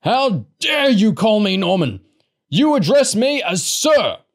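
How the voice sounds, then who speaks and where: Blustering, groggy big drunk man, pitched high. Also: big pitch swings, slow pace, creaky, heavily acted. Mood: indignant bellowing. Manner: groggy, indignant bellowing and moaning.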